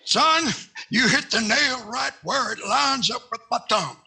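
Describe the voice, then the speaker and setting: An older man acting a blustering, folksy Southern senator in 1940s radio comedy style, raspy and prone to repeating himself. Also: extremely high pitch, expressive, unhurried, pushed and bright, heavily acted. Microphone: headset on a video call.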